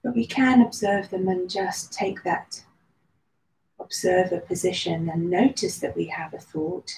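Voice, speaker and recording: A woman teaching meditation in a mellow, soft voice, slow and soothing with long pauses between phrases. The room sounds echoey and there is a faint hiss of background noise. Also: on the low side. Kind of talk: guided meditation.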